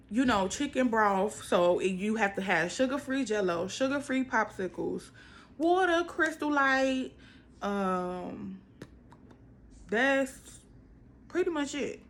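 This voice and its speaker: High-voiced chatty young American woman. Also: a bit bright. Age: 20s. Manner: chatty, emphatic, repeats phrases for stress. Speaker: vlogger. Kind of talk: casual personal vlog chat to viewers.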